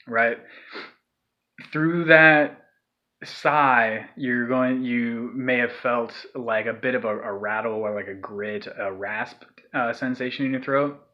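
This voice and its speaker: Guy rambling thru a scream tutorial, middle pitch, kinda dull lo-fi sound. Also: fairly even intonation, slow. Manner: rambling, loose, filler-heavy, demonstrates breaths and sighs. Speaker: vocal tutorial maker. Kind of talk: casual spoken tutorial.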